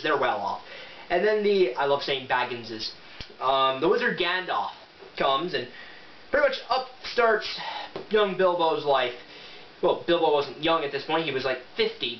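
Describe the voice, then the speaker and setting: Guy rambling through a book review, irreverent, echoey room, dull muffled audio. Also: on the high side. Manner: irreverent, rambling, self-correcting. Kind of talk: casual rambling review with some reading aloud. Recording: muffled, noisy, roomy, distant mic.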